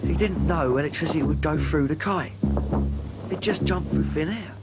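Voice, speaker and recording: An actor playing a blunt, scornful young witness to an accident in a dramatised safety film. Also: male voice, a little high, moderate pace, vocal fry, over loud music. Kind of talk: dramatised public information film.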